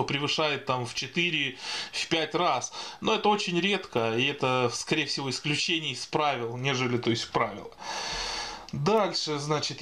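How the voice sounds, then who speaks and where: A man giving an informal, improvised explainer voice-over in a hesitant, halting manner. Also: a little high, a bit bright. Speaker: video host explaining radio equipment.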